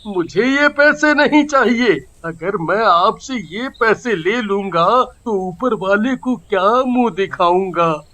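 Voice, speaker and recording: A man playing a humble, honest poor father in a moral story cartoon, speaking earnestly and pleadingly with halting repeats. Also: sits high, animated, sharp tone, full character voice.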